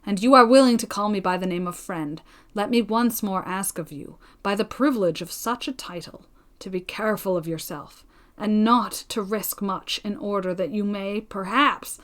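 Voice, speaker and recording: A woman reading a storybook voices a devoted, cautious gentleman suitor who pleads in formal period speech. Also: medium pitch, normal speed, lightly acted. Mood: solemn pleading.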